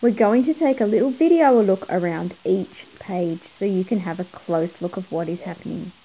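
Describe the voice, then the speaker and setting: A woman's voice: mid-range voice, normal speed, mellow, rough recording. A middle-aged woman narrating a picture book for children, explaining things and putting questions to young viewers. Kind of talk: read-aloud narration for children.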